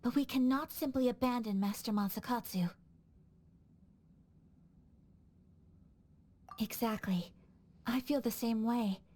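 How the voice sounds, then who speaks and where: A woman acts as a young noblewoman in a game cutscene. Her voice sits fairly high, and she speaks with formal, earnest composure and gracious politeness. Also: normal speed, a little mellow, natural voice. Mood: grave, resolute. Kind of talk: video game dialogue acting.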